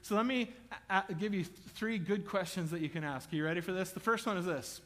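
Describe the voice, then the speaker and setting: A man preaching a sermon in a church auditorium, chatty and joking with the congregation, then building to loud, emphatic bursts. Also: sits high, brisk, long reverb tail. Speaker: pastor.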